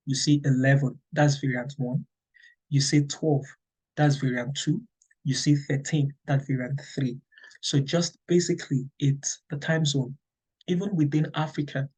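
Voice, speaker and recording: Husky Nigerian man explaining patiently. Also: mid-range voice, a little mellow, poor audio. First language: Yoruba. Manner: many "okay" checks, repeats and restarts. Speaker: English teacher. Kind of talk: explaining to teachers, conversational lecture.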